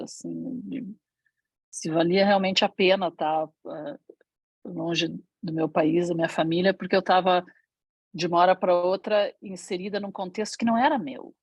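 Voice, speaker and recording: A middle-aged woman from Brazil speaks candidly in an online panel talk, often pausing to find her words. Her voice sits a little low and has a husky edge, and the recording is dry and close. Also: no echo. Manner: reflective, candid storytelling with frequent hesitations. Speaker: guest speaker.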